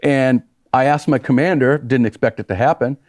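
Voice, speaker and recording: A man's voice: mid-range voice, normal speed, darkish tone, dry recording, clean studio sound. A man from New Hampshire, a congressional candidate, telling his story in an earnest, rambling way with fillers. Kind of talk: interview storytelling. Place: small TV studio.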